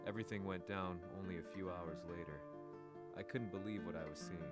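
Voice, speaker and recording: An actor playing an earnest, troubled male reporter who narrates a video game story in a dramatic, deliberate way. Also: sits low, steady tone, over loud music, natural voice. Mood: somber, troubled. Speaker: narrator and interviewer.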